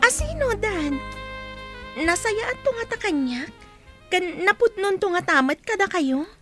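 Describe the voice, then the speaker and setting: A woman playing a sweet, modest teenage daughter in an audio drama, speaking in a gentle, polite way. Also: extremely high pitch, animated, measured pace, music in the background. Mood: curious, respectful.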